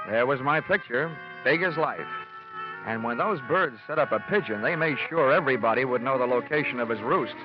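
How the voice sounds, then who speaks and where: A male actor plays a wisecracking private investigator from old-time radio drama. His delivery is sardonic and slangy, with very lively intonation. The recording is vintage and muffled, with music behind it. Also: medium pitch, lightly acted. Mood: dry narration. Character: wisecracking, cocky male freelance insurance investigator. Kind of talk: 1940s-50s radio detective drama acting.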